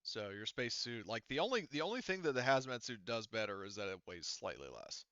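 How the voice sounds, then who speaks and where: A man's voice: fairly low pitch, very animated, a little fast, pushed and bright, dry recording. Man, game master type. Clean, forward voice, casual and hesitant explainer, close dry lo-fi mic. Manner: hesitant, thinking aloud while explaining. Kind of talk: casual online tabletop game talk.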